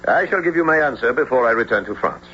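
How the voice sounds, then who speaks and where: An actor playing a curious, excitable French professor of marine biology in a radio drama, courteous and musing. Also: male voice, medium pitch, fast. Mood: hesitant, polite. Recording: dull, noisy vintage-sounding radio drama.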